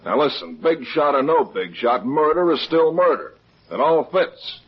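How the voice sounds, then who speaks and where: A police lieutenant in an old radio crime drama, blunt and sure of himself. His husky voice swoops up and down through clipped phrases. The vintage recording sounds dull and muffled. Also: fairly high pitch, very animated, moderate pace. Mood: impatient, certain. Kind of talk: 1950s radio detective drama acting.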